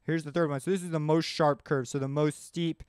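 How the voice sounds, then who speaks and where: A man hosting a casual music production tutorial, explaining step by step as he demonstrates the software. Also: on the high side, steady tone, a little fast, smooth, no room sound, studio quality.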